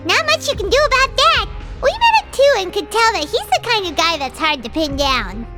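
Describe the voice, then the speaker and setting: Tiny game fairy, squeaky childlike voice, extremely high, swooping pitch, excitable, skittish. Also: female voice, normal speed, loud music behind the voice. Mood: unsure. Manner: refers to self in third person, dramatic. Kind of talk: video game character acting.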